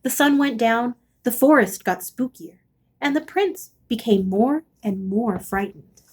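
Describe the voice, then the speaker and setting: A woman reading a children's picture book aloud as an expressive storyteller. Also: a little high, a little slow, slightly rough.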